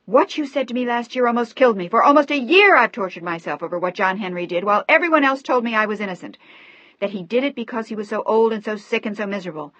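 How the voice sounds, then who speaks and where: Woman doing a young daughter screaming at her dad, sobbing rage, high and loud, dry flat recording. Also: normal speed, no room sound. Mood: furious. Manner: shouting, sobbing accusations. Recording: dry, slightly dull recording.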